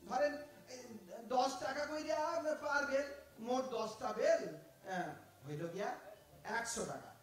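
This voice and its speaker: An actor playing a cheeky, smooth-talking fruit thief with an East Bengali accent, stammering and theatrical in an audio drama. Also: male voice, very high voice, very animated, unhurried, heavily acted. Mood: wheedling. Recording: echoey room from far off.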